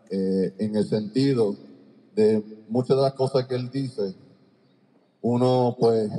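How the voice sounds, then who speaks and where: A man's voice: medium pitch, mellow. Muffled man echoing through a gym. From Cleveland, Ohio, United States. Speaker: basketball coach giving a seminar talk. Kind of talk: coaching seminar lecture to an audience. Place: large indoor sports arena, on the court. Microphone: handheld microphone through a PA, far camera. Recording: long echo of a large gymnasium.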